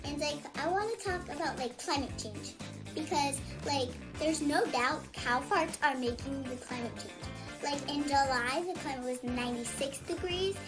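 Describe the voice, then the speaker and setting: A woman's voice: high-pitched, moderate pace. A young girl reciting a scripted comedy parody in a valley-girl manner, sprinkling "like" through her lines. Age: child. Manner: valley-girl "like" delivery, deadpan. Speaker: child in a parody video. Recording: video played with music under it.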